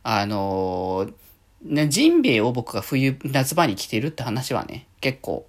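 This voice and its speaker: A man's voice: medium pitch, very animated, a little slow. A man hosting a casual solo radio talk, chatting in a rambling, conversational way.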